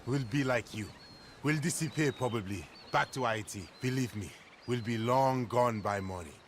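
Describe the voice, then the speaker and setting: An actor playing a spirited, loyal rebel guide in a video game drama. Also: male voice, mid-range voice, normal speed, slight background noise, close to an everyday voice. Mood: reassuring. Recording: faint music behind game dialogue.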